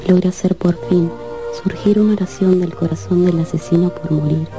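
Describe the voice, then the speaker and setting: An actress playing an earnest, loving young bride in a radio drama, speaking tenderly. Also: female voice, on the low side, steady tone, normal speed, over music, lightly acted. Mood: solemn.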